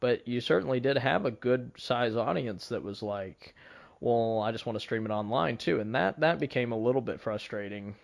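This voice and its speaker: A man's voice: mid-range voice, lively intonation, moderate pace, vocal fry. A man hosting a casual podcast conversation, speaking in an earnest, wordy way and often repeating himself. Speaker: podcast host.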